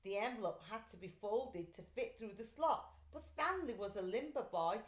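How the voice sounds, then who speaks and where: A woman reading a children's story aloud like a teacher, with deliberate, clear phrasing and expression. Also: medium pitch, expressive. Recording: echoey room.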